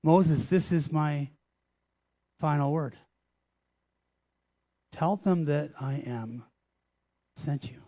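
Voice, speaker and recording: A pastor voicing God as a calm, commanding male deity, solemn and measured. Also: on the high side.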